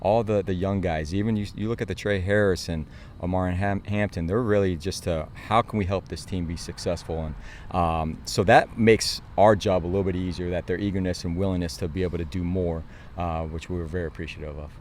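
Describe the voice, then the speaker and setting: A man's voice: sits low, steady tone, brisk, a little mellow, slight background noise. An American man, a football coach, answering interview questions in an earnest, explanatory and informal way.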